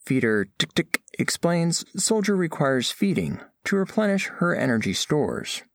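A man's voice: a little high, very animated, measured pace, dry recording, studio quality. A man playing an earnest, formal alien scholar, narrating a scripted audio drama in precise, literal wording. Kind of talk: scripted first-person narration.

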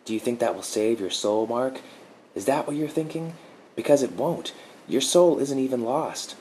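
A man voicing a sweet-talking female dark guardian spirit, soothing and gently mocking. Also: mid-range voice, expressive, normal speed, slight background noise, voice pushed up, lightly acted. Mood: chiding.

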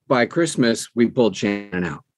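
Man in his 60s, lowish voice, close dry sound, folksy chatty interview guest telling stories. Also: expressive, normal speed, no echo, soft background music. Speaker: interview guest, audiobook narrator. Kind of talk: conversational interview, storytelling.

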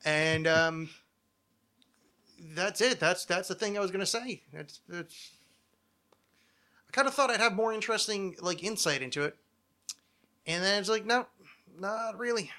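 A man's voice: high voice, measured pace, long pauses, bright, forceful tone. A middle-aged man hosting a podcast, chatting casually in a rambling, self-deprecating way with plenty of swearing. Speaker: podcast host. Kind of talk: casual chat.

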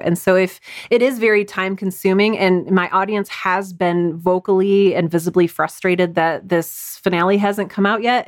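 A woman's voice: lowish voice, no echo, studio quality. A woman being interviewed as a writer, explaining her craft conversationally and acting out little example quotes. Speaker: audio drama writer and podcast guest.

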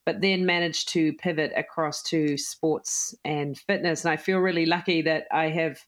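A woman's voice: sits low, fairly even intonation, normal speed. A woman from New Zealand with a New Zealand accent, a guest talking enthusiastically in a casual interview. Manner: enthusiastic, laughs easily. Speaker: guest, business executive.

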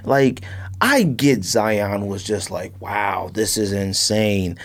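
A man's voice: lowish voice, animated, slow. A man with an American accent chatting casually about sports as a podcast co-host, in a slangy, exclamatory way. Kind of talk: casual sports and sneaker chat.

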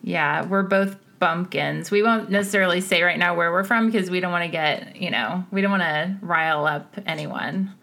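A woman's voice: fairly low pitch, normal speed, few pauses, bright, forceful tone. A woman from the Midwest co-hosting a podcast in a casual, chatty way, talking from home over a laptop video call and laughing easily. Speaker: podcast co-host. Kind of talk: casual chat.

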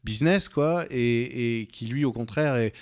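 A man's voice: mid-range voice, a little slow, clear, resonant tone, no echo, clean studio sound. A man hosting a casual morning radio interview, feeling his way into questions with repetitions and rephrasings. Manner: hesitant, repeats and rephrases as he builds questions. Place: indoors, radio studio.

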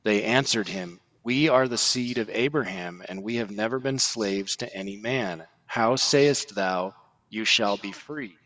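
A man on a religious podcast, earnestly explaining and quoting scripture in a measured way. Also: on the low side, fairly bright tone, rough recording. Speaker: podcast guest, article writer.

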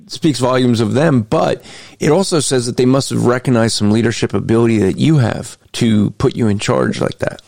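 A man's voice: medium pitch, normal speed, gravelly, a little mellow, no room sound, studio quality. A man hosting an interview podcast, talking in an earnest, explanatory way. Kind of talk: conversational interview.

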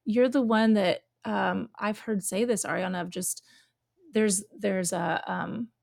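A woman's voice: mid-range voice, steady tone, a little slow, gravelly, dry recording. Chatty woman host, dry close sound. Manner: warm storytelling, frequent "like" and affirming murmurs. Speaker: podcast host. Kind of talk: casual faith conversation.

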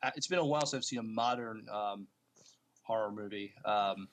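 A man co-hosting a podcast, talking casually about films in a somewhat halting way. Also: fairly low pitch. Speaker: podcast co-host. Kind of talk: casual movie news chat.

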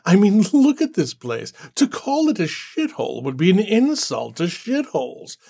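A man's voice: medium pitch, animated, slow, creaky, darkish tone, full character voice. A man playing a scornful, sarcastic man who sneers at everything. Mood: mocking. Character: scornful sardonic man, mocking and brash.

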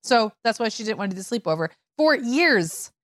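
A woman's voice: a little high, sing-song, fairly quick, fairly bright tone, dry recording, studio quality. A middle-aged woman co-hosting a parenting podcast, telling stories in a chatty way with many fillers and restarts. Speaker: podcast co-host. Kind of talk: casual conversational chat.

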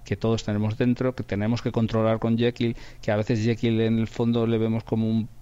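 A man's voice: fairly low pitch, monotone, brisk, dark tone, clean tone, highs cut off. A man with an accent from Spain, talking reflectively in an interview as a radio drama director, often repeating words for emphasis. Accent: Spanish from Spain.

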